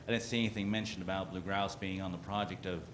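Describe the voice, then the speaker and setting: A man's voice: low-pitched, fairly even intonation, quick pace, noisy background. A man making a blunt, frustrated public comment at a community meeting.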